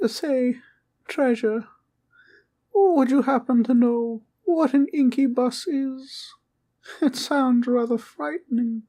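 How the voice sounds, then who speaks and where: A woman playing a sweet, innocent talking teddy bear in a comfort roleplay, speaking in a gentle, quaint, reassuring way. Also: sits high, slow pace. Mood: earnest, reassuring. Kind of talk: roleplay comfort audio acting.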